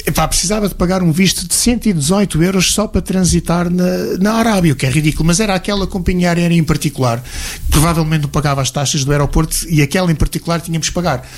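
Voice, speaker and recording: A man's voice: on the high side, quick pace. A man with a European Portuguese accent telling travel anecdotes in detail as an interview guest. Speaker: interview guest, martial arts instructor. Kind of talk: conversational travel storytelling.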